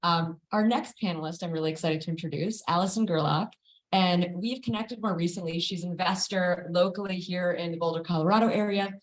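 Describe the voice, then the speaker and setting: A woman's voice: fairly low pitch, a little fast, poor audio. A woman hosting a live panel conversation, introducing guests in a friendly, conversational way in an event space. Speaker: panel moderator.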